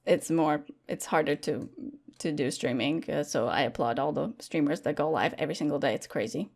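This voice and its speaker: Chatty young woman, lowish voice. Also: moderate pace. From Latvia. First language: Latvian. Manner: chatty and animated, many fillers and restarts. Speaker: guest content creator. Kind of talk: casual interview chat.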